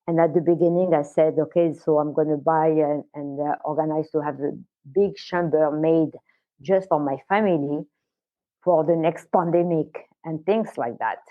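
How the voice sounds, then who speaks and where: Older lady from morocco, low voice, stops and starts with lots of uhs. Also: steady tone. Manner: halting, many "uh" fillers. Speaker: guest, wellness center founder. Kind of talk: casual interview talk. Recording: tinny phone-like line.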